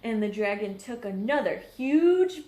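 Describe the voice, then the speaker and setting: A woman reading a children's story aloud as a teacher, expressive, putting questions to young listeners. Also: a little high, unhurried. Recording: roomy echo on the voice.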